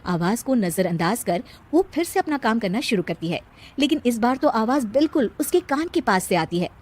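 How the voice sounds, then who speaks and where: A woman with a fairly high voice tells a cartoon story. She delivers it as scripted narration, as a storyteller addressing her listeners. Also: very animated, moderate pace.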